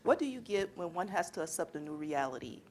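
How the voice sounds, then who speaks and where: A woman's voice: on the low side, sing-song, quick pace, a little hiss or hum. A young woman student presenter reading a scripted readers theater narration. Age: young adult. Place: indoor college library event.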